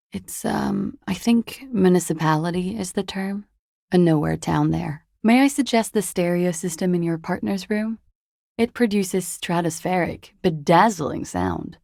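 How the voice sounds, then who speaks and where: A creaky, languid, flippant young woman. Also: lowish voice, dry recording, clean studio sound. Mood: reflective, evasive, then dry, teasing. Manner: languid, wry, deadpan, flippant. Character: flippant, worldly, evasive young woman, cool disco dancer. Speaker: video game character voice actor. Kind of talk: video game dialogue acting.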